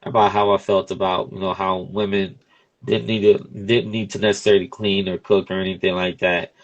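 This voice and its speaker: Male, early 30s, deep voice. Podcast host in relaxed interview conversation, informal phrasing with frequent conversational check-ins. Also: brisk, highs cut off. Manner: informal, peppered with "you know what I'm saying". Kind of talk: casual conversational interview talk.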